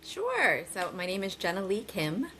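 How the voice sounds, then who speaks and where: A woman's voice: medium pitch, huge pitch swings, normal speed, a bit bright, a little hiss or hum. A woman in her forties, a guest chatting casually and animatedly with rambling asides. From United States. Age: 40s. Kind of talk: casual conversation.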